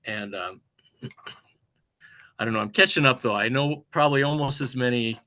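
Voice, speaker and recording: A man, a music teacher, presenting a webinar in a halting, unscripted way, pausing for words and restarting phrases over a video call. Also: medium pitch, pushed and bright. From United States. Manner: halting, many fillers and restarts, searching for words.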